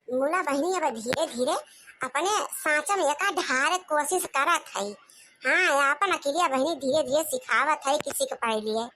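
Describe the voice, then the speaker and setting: A woman's voice: sits high, sharp tone. A woman voicing a boastful old village mother-in-law in a comedy cartoon, grumbling and gossiping with an Awadhi accent. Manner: gossipy, complaining, repeatedly addressing a confidante. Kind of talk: comic cartoon monologue.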